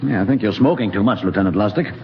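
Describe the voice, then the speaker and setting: An actor playing a thoughtful, philosophical space-expedition scientist in a 1950s radio drama, speaking in a deliberate, musing way. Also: male voice, lowish voice, natural voice. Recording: dull, noisy, reverberant 1950s radio recording.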